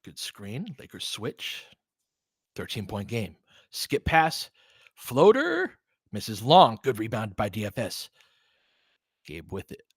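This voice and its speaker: A man giving informal, partisan live basketball commentary as host, excitable, with whoops and casual swearing. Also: on the low side, slow pace, pauses often, husky, dry recording, studio quality.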